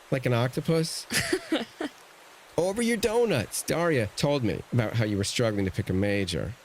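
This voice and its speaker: Audio drama uncle, middle-aged ex-navy, male actor. Earnest, fretful, lively swings in pitch, some noise behind. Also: rough recording.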